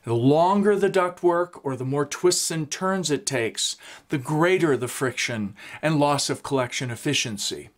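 A man in his fifties, a woodworking instructor, giving a steady scripted explanation in a small home workshop. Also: a little high, unhurried. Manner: steady, explanatory, gestures while talking.

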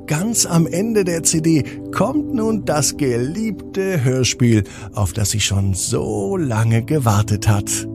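A man narrating a children's bedtime story as podcast host, in an expressive, measured storytelling manner. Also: on the high side, creaky, music in the background.

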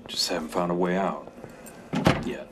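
A man's voice: low voice, fairly even intonation, normal speed, very noisy, close to an everyday voice. An actor playing a weary, guarded American convict in his thirties in a video game, terse and evasive. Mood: wary. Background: background music.

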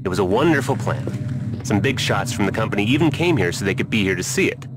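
An actor playing a weary, idealistic scientist in a sci-fi game, speaking earnestly and deliberately. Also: male voice, lowish voice, expressive, noisy background, lightly acted. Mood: grave, explaining. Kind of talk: video game cutscene acting.

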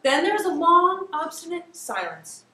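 A high-voiced woman reads a story aloud with big swings in pitch. Her delivery is theatrical and emphatic, with drawn-out words. She is recorded from across an echoey classroom. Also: sing-song, far from the mic, a crowd in the background. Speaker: teacher reading aloud to a class. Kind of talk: dramatic story reading. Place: classroom, indoors. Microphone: far camera across the room.

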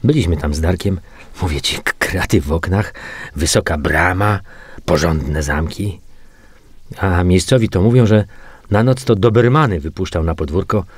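An actor playing a matter-of-fact, weary male police investigator in a radio drama, muttering and repeating himself haltingly. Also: sits low, husky, no echo. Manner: halting, muttering repetitions while reading out reports. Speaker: actor in an audio crime drama. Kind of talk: naturalistic radio drama dialogue.

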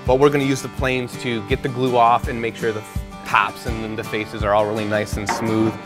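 Brief: thirties man, mid-pitched, steady how-to explainer, workshop, light guitar bed. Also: brisk. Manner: patient explaining, hands-on demonstration. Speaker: guest woodworker. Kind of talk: instructional chat. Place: large woodworking workshop indoors. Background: faint guitar music.

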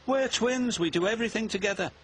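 A man's voice: mid-range voice, huge pitch swings, measured pace, a bit bright, slight background noise. A man voicing a sulky, envious young twin engine in a children's story, whiny and childish. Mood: envious complaining. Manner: whiny, complaining. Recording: music behind the voice.

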